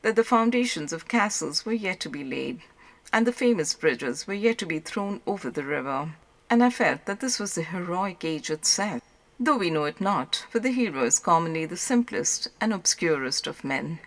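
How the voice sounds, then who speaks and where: A woman's voice: fairly low pitch, animated, moderate pace. A woman reading literary prose aloud as a scripted audiobook narration.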